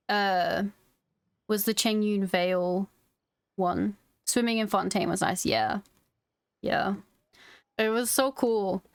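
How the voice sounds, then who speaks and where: Unhurried woman streamer, casual chat. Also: medium pitch, smooth, no echo. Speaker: game streamer. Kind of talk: casual live stream commentary.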